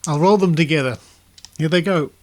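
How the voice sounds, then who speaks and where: A man's voice: a little high, brisk, background noise. A middle-aged man with an Australian accent, presenting a podcast casually with conversational asides. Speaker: podcast host.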